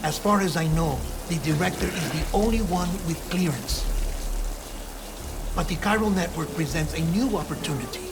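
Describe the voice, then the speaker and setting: A man voicing a wry, articulate mission advisor in a video game cinematic, confiding, with rain behind him. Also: sits high.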